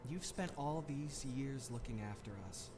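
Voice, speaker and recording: An actor playing an earnest, hesitant young village boy in an animated fairy tale. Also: medium pitch, normal speed, lightly acted. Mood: earnest, curious. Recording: noisy ambience behind the voice.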